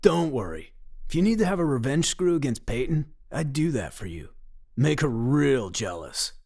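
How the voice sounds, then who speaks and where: An actor playing a goofy, crude, pun-loving pizza cook in a comedic radio play, with a clownish, theatrical delivery. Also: male voice, mid-range voice, very animated, normal speed, a little mellow. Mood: smug, crude. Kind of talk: comedic radio drama acting.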